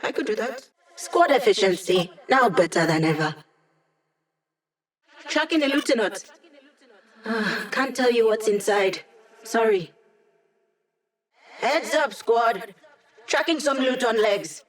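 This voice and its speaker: A woman's voice: on the high side, animated, slow, pauses often. An actress voicing a wry, cocky young woman hacker in a video game, speaking in quippy, casual lines. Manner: sardonic, quippy, casual slang. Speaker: game character, hacker support.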